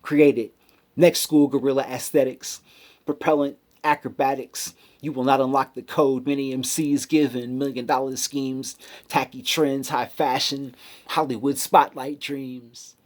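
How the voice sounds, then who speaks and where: A man performing spoken word poetry with a hip-hop cadence, rhythmic and emphatic, pausing deliberately between phrases. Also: medium pitch, level tone. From United States city.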